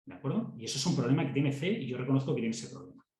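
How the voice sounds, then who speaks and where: A man with a Castilian Spanish accent teaches a class in a relaxed, conversational way. He often repeats a word before going on and checks that students are following. His voice sits at a middle pitch and rings around a roomy, echoey classroom. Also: very fast. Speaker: university lecturer. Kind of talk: classroom lecture.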